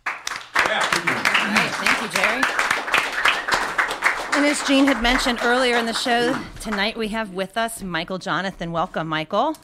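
Woman hosting over loud crowd noise. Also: medium pitch, a little slow, pauses often. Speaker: co-host introducing a guest. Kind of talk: live show hosting. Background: loud audience noise.

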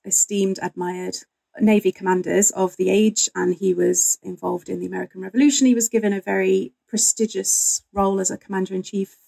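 A woman's voice: mid-range voice, fairly even intonation, a little fast, vocal fry, dark tone, low-quality recording. A woman talking conversationally as a guest historian, telling stories in an interview.

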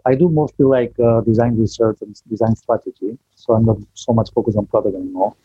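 Guy from spain, english, low mellow voice, a bit husky, dull dry call audio. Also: fairly even intonation, no echo. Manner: chatty, self-deprecating, halting restarts. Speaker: guest. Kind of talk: casual online interview.

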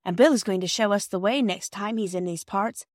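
A woman's voice: mid-range voice, a little fast, a little mellow, no echo, clean studio sound, natural voice. A woman voicing a chatty, sensitive little girl, the younger sister in a storybook, talking in earnest runs. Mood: excited.

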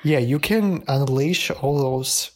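A man's voice: medium pitch, slow pace. A man in his early twenties co-hosting a casual podcast with a friend, often laughing and complimenting her. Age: 20s. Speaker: podcast co-host. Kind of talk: casual chat.

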